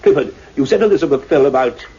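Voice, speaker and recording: An actor playing an earnest, commanding scientist in a radio play, deliberate and urgent in his questioning. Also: medium pitch, lively intonation, dark tone, breathy edge. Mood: earnest, authoritative. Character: earnest, commanding male scientist doctor, solemn and probing. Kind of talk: radio play acting and narration. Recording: muffled, noisy radio play recording.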